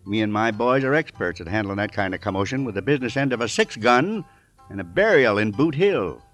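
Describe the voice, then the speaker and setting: An actor doing a menacing outlaw boss. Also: male voice, lowish voice, expressive, a little fast, loud music behind the voice, lightly acted. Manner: gruff, menacing. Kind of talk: 1940s radio acting.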